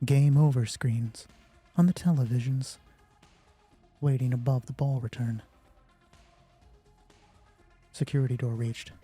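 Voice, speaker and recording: A man's voice: mid-range voice, steady tone, normal speed, warm tone, breathy edge. A man hosting a solo roleplay game as game master, moving between terse story narration and informal commentary on play. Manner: informal, swearing, thinking aloud during play. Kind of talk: hushed scripted story narration alternating with casual game commentary. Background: music.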